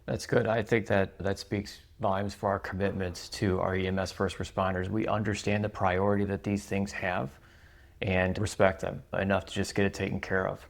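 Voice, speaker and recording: A man's voice: low voice, flat delivery, moderate pace, vocal fry. A man interviewing a colleague in a conversational way on behalf of their company.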